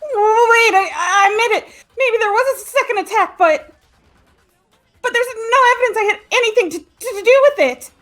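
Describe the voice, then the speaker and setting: A woman's voice: extremely high pitch, moderate pace, a bit bright, soft background music. A woman voicing a nervous, stammering schoolgirl who defends herself against an accusation in a fan dub of a game. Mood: panicked.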